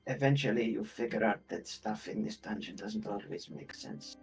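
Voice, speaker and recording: A man's voice: lowish voice, normal speed, darkish tone, slightly rough, soft background music. A man playing in a tabletop roleplay game, talking casually in and out of character.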